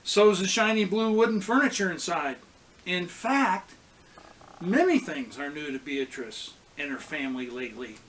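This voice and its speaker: A man, a pastor, reading a children's story aloud in a deliberate, expressive way with pauses. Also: a little high, slight background noise, rough recording.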